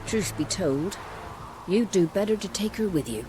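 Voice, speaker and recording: A woman's voice: medium pitch, lively intonation, moderate pace, noisy background, lightly acted. A woman playing a careworn, blunt old peasant grandmother in a video game. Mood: weary, pleading. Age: older. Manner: rustic, scolding, blunt. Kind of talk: video game cutscene acting.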